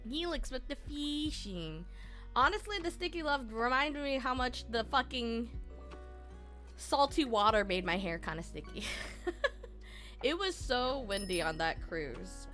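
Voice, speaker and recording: A woman's voice: on the high side, animated, measured pace, sharp tone. A young woman streamer with a slightly scratchy throat, chatting casually and giggling with her live chat. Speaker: VTuber streamer. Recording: music playing behind.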